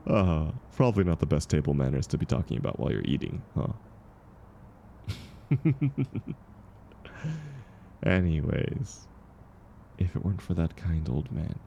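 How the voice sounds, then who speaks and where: A man playing a kind, world-weary drifter in an audio roleplay, talking gently and unhurriedly to encourage a stranger. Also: a bass voice, lively intonation, measured pace, gravelly, pauses often, lo-fi sound, slight background noise, full character voice. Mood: wry, nostalgic. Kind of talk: intimate comfort audio roleplay.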